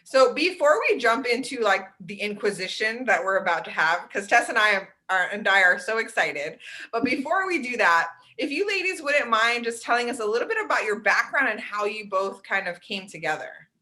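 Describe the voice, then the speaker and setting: A woman's voice: mid-range voice, expressive, moderate pace, pushed and bright. A woman co-hosting an interview show, upbeat and chatty with self-deprecating asides. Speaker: podcast co-host.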